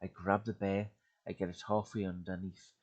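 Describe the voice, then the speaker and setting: Man reading horror aloud, hushed then urgent. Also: flat delivery, moderate pace, muffled recording. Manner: swings from loud urgency to hushed, breathy lows. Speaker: YouTube creepypasta reader. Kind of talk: dramatic horror story reading. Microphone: home desk mic.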